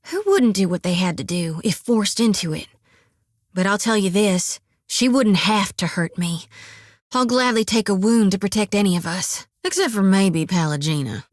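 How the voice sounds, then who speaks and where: A woman's voice: fairly high pitch, no room sound, clean studio sound. An actress voicing an earnest, devout young farm-raised woman priest, folksy and stubborn. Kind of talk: video game voice acting. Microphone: dry studio mic.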